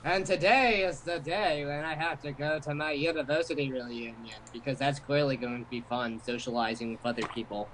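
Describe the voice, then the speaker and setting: A man with a somewhat high-pitched voice jokes and reads through a game as an amateur player. He drops in sarcastic asides. There is faint background noise. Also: fairly even intonation, brisk, few pauses, fairly bright tone, rough recording. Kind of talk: casual joking commentary and read-aloud.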